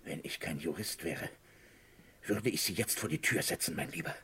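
A man's voice: low-pitched, expressive, normal speed, pushed and bright, a little hiss or hum, exaggerated voice. A man playing a formal, self-possessed and condescending small-town lawyer in a radio crime drama. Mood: indignant, controlled.

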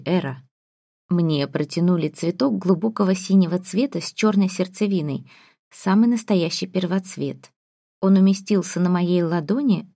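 A woman narrates a first-person novel in an expressive, scripted storytelling style. Her voice sits on the low side with a gravelly creak. The recording is dry, with no room around it. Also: no echo. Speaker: audiobook narrator.

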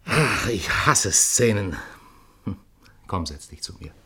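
Radio play casting: worldly, weary dramatist, low-voiced, resigned, quietly cynical. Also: male voice, sing-song, slow, husky, exaggerated voice. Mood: weary, irritated. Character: weary, worldly male playwright and troupe leader. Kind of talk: intimate German radio play acting.

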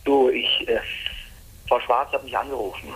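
A man's voice: medium pitch, fairly bright tone, slightly rough, rough recording. An actor playing a hesitant man leaving an urgent, stammering answering machine message in a radio drama. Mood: uneasy, urgent. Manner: halting, stammering. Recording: answering machine with faint noise.

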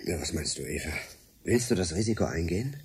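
An actor in a German radio drama playing a skeptical, excitable journalist with a doubting, wry manner. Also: male voice, low voice, fast talker, creaky, husky, heavily acted.

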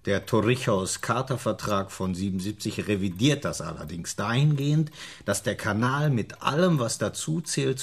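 An actor in a radio drama playing a glib, self-assured British embassy official, briefing fluently. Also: male voice, on the low side, slightly rough.

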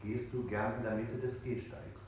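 A man's voice: mid-range voice, level tone, normal speed, a little mellow, slight background noise, lightly acted. An actor as a domineering male questioner, echoey. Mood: pressing. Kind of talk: stylised 1960s German radio play acting. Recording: echoey old radio play recording.

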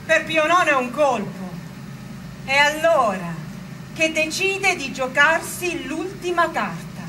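A woman acting as a grim storyteller, narrating history in a dramatic, drawn-out theatrical style. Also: sits high, animated, background noise. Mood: grim, suspenseful. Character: grim female storyteller foretelling a murder. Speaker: actress and narrator. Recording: voice over loud music.

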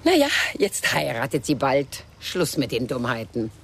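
A woman's voice: very deep voice, sing-song, moderate pace, gravelly, slightly rough, full character voice. An actress playing a shrewd middle-aged mother in a radio crime drama, chatty and probing with a sardonic edge.

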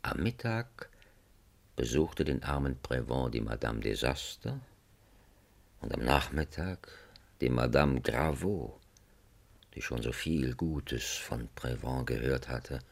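A man's voice: rumbling low, expressive, slow, gravelly, long pauses. A man narrating a radio drama in a dramatic storytelling style.

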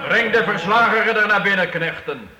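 A man's voice: sits high, a little fast, very noisy, natural voice. A man playing a stern medieval count in a radio play, solemn, formal and authoritative in manner. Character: stern, commanding medieval count passing judgment. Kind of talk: Dutch radio play acting. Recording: reverberant hall, old radio play.

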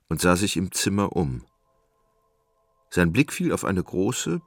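A man narrating a literary radio drama in a measured, solemn manner. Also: sits low, dry recording.

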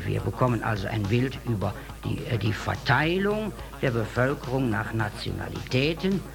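Male bureaucrat interviewee, heavily hesitant with repeated words, gravelly edge, music underneath. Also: medium pitch. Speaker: statistics office official being interviewed. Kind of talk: halting interview answer.

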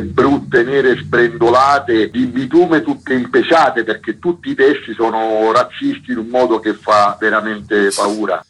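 A man's voice: mid-range voice, fairly bright tone, slightly rough, poor audio. A man being interviewed as an author, explaining things in a radio interview style.

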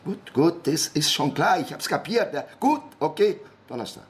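An actor playing an irritated, harried man on the phone in a radio crime drama, halting, repeating himself and muttering curses. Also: on the high side, lively intonation, natural voice. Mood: exasperated, agitated.

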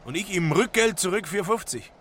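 A gruff, angry cab driver in a radio play. He barks coarsely and mistrustfully in a sharp, slightly high voice, with drawn-out pacing. Traffic and engine noise run behind him. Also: expressive. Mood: furious. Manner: irritable, barking, coarse. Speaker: actor. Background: car and street noise.

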